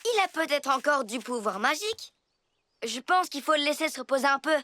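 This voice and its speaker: A woman voicing an eager, earnest young boy rabbit in a preschool cartoon, with childlike, expressive delivery. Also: high-pitched, a little fast.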